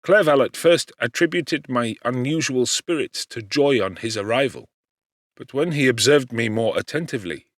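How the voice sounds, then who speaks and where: A man giving a measured, articulate and dramatic narration of a classic gothic novel. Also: mid-range voice, a bit bright, no echo, studio quality. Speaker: audiobook narrator, actor.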